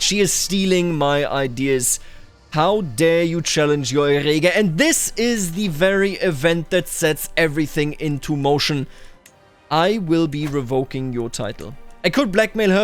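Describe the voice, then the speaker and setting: A man doing roleplay commentary over a strategy game, addressing its characters and himself with theatrical declarations. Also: fairly high pitch. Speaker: gaming YouTuber.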